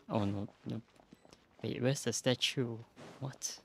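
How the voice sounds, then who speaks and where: A man's voice: mid-range voice, steady tone, takes it slowly, gravelly, fairly bright tone, slight background noise. A man doing casual livestream commentary on a game in a laid-back, amused, teasing manner. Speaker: streamer.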